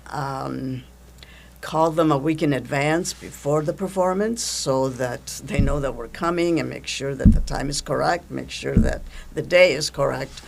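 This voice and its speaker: An older woman who coordinates events for a theater group, talking casually and haltingly in an interview. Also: a bass voice, gravelly, a little hiss or hum.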